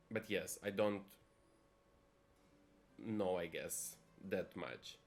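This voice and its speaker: Very low-voiced chatty Italian-born man. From Italy. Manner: chatty, thinking aloud. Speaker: streamer. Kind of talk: casual live-stream gameplay commentary.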